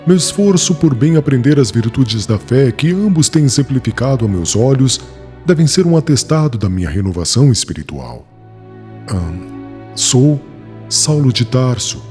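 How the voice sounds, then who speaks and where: A man's voice: mid-range voice, darkish tone, lightly acted. A man voicing a remorseful young former persecutor in an audiobook, speaking solemnly and formally. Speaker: voice actor in an audiobook.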